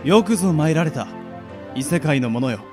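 A man's voice: fairly high pitch, animated, normal speed, music in the background, lightly acted. A man in an amateur radio drama playing a benevolent king with grand, archaic royal speech. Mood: solemn welcome. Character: stately, benevolent fantasy king.

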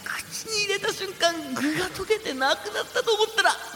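Man playing a theatrical high-voiced young ringleader. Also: animated, fairly quick, pushed and bright, heavily acted. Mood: agonized. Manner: theatrical, grandiose. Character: dramatic, stubborn young ringleader relishing the ordeal. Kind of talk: comedy radio drama acting. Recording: background music under the voice.

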